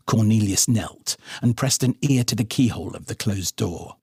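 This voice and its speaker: A man narrates a story in an expressive audiobook style. His pitch moves in a lively way and his voice has a husky edge, recorded close and dry. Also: normal speed, dry recording. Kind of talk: dramatic scripted audiobook narration.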